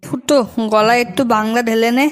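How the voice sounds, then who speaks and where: A man voicing a bossy, exasperated ringleader of a rowdy gang in an amateur comedy cartoon, crude and grumbling, slightly pitch-raised. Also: steady tone, fairly quick.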